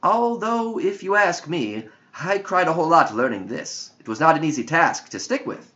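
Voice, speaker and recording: A wise woman teacher in a storybook, played by a man. She is direct, patient and challenging, with an animated, swooping delivery. The recording is a little dull. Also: a little high, normal speed, pitched above the performer's own voice. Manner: instructive, probing, direct. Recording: dull lo-fi audio.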